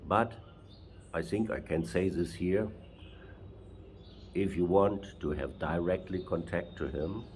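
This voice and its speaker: German guy in halting english, really low voice, lots of restarts, faint noise behind. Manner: halting non-native English, many restarts. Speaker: traveler and interviewer. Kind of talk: casual interview.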